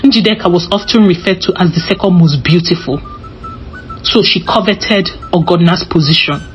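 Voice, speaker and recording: Lower-pitched woman telling a folktale. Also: normal speed. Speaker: storyteller. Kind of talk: scripted folktale narration. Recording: slightly dull recording with music behind. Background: music.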